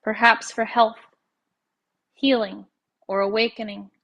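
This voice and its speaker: A woman guiding a meditation as a teacher, speaking in a calm, measured way with pauses between phrases. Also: on the high side, gravelly. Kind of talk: guided meditation narration.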